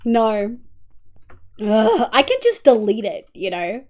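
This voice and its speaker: A woman's voice: a little high, very animated, takes it slowly, a little mellow. A young Australian woman streaming live, chatting casually with her viewers and walking them through settings, her voice congested and nasal. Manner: chatty, talks to chat, hums to herself. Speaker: livestreamer. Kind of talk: casual livestream chat and walkthrough.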